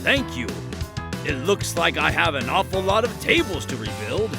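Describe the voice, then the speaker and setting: A man voicing a gentle, timid, kindly handyman with a hesitant, polite, soothing manner in a comic dub. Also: a little high, sing-song, moderate pace, gravelly, music in the background. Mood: grateful. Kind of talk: animated comic dub acting.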